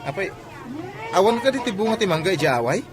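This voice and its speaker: A man's voice: high voice, sing-song, fast talker. A man with an Ilocano Filipino accent playing a teasing, blunt friend in a radio drama. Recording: crowd noise of an outdoor market.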